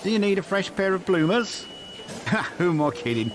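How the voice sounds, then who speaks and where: A man voices a smug, lewd hospital orderly, always needling and leering. His tone swoops up and down with mock charm, a touch higher than most men. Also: expressive, normal speed, noisy background. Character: sleazy crude male orderly, leering joker.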